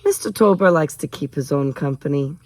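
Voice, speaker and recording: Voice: mid-range voice, lively intonation, brisk, a little mellow, dry recording, close to an everyday voice. Narrator voicing a kindly, motherly housekeeper, fussing fondly. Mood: matter-of-fact. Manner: affectionate, fussing, polite. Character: kindly motherly housekeeper, gently scolding, fond.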